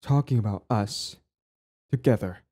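A man's voice: fairly low pitch, measured pace, warm tone, husky. A young man acting a cocky, possessive and smitten bully in an audio roleplay spoken to the listener. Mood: flustered, pleading. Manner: stammering, swaggering, profane.

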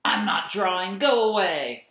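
A man's voice: high-pitched, expressive, unhurried, pushed and bright, roomy sound, voice pushed up, exaggerated voice. A man voicing a touchy, easily discouraged young boy in a storybook, with a snappish, emphatic way of talking. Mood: angry snapping.

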